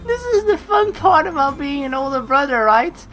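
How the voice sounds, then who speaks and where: A woman narrating a game playthrough, with animated, jokey asides and some swearing. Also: high voice, normal speed, low-quality recording. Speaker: let's play streamer. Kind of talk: playthrough narration with casual reactions. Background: background game music.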